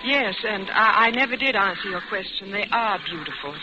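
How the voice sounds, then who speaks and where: An actress playing a nervous, refined young traveling companion in a 1940s radio drama, earnest and a little hesitant. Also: female voice, medium pitch, lively intonation, normal speed, very noisy, lightly acted. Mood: shy, nervous. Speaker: narrator and lead character. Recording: muffled old radio recording with loud music.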